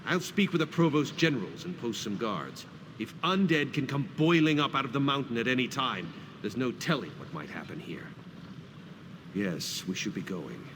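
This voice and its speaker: Earnest, solemn warrior guildsman, animated pitch, fantasy game, over clear background noise. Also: moderate pace. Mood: grave. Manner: solemn, dramatic, emphatic. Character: earnest, devout warrior guildsman, shaken but resolute. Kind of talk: fantasy video game acting.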